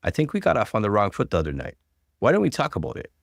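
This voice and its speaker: A man's voice: on the low side, huge pitch swings, rapid-fire, creaky, dry recording, studio quality, full character voice. A man voicing a scheming, seductive woman who pleads melodramatically in a story reading.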